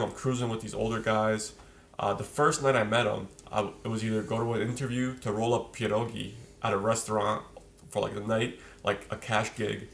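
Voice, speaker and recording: A man's voice: fairly low pitch, vocal fry, a little hiss or hum. A man in his twenties from Poland, a podcast guest telling his life story in a loose, slangy way. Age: 20s. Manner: slangy, loose storyteller, fillers like "you know". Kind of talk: casual chat, storytelling.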